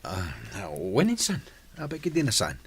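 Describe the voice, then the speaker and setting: A man's voice: medium pitch, wildly swooping pitch, a little slow, slightly rough, heavily acted. A man playing a concerned village man in an Ilocano radio drama, an elder brother sheltering his rebel cousin. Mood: calm, gentle.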